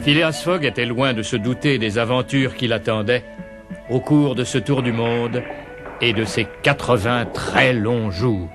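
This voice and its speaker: An actor playing the storytelling narrator of a cartoon adventure, reading scripted narration. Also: male voice, mid-range voice, measured pace, over music, close to an everyday voice.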